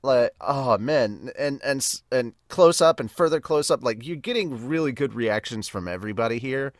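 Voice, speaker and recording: A man's voice: medium pitch, expressive, moderate pace, dry recording, studio quality. A man giving a casual, rambling, enthusiastic review as a podcast host. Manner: rambling, enthusiastic fan talk. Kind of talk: casual live reaction and review.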